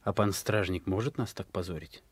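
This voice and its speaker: An actor playing a cheeky, defiant young village lad in a radio play. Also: male voice, low voice, moderate pace, husky, no room sound. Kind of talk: Soviet radio play acting.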